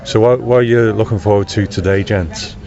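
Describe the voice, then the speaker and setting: A man's voice: lowish voice, fairly even intonation, brisk. A man hosting a casual on-location interview outdoors, chatty, effusive and encouraging with his guests. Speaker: interviewer and podcast host. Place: outdoors in a park. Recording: dull sound with loud outdoor ambience.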